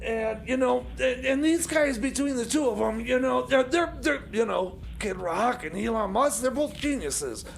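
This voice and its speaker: A man playing an excitable, muddled, secretive time machine mechanic who stammers, rambles and repeats himself in comedy radio theater. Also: extremely high pitch, moderate pace, creaky, hardly any pauses, heavily acted. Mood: furtive, eager. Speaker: comedy sidekick on radio show. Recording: noisy community radio broadcast.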